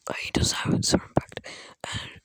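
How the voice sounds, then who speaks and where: A person speaking in a breathy, unvoiced whisper, telling an improvised fan story in a rambling, halting way, close to a phone. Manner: rambling, halting, acting out characters' dialogue in passing.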